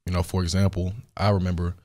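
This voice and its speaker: Hoarse low-voiced young man hosting. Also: quick pace. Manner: relaxed, rambling, frequent fillers. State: hoarse, recovering voice. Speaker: podcast host. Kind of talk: solo Q&A podcast. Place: studio.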